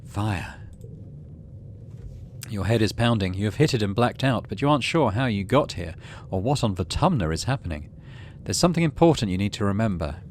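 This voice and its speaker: A man with a fairly low voice hosts a video game let's play. He talks himself through the game and reads its text aloud. There is clear noise behind his voice. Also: expressive, fairly quick. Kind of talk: gameplay commentary and reading game text aloud.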